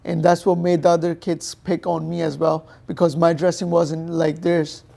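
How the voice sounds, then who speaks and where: A young man from Pakistan, a native Urdu speaker, talks in a gravelly, mellow voice pitched a little high. He answers plainly, thinking aloud and leaning on fillers as he goes. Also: monotone, normal speed. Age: young adult. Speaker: guest, professional boxer. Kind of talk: interview answers.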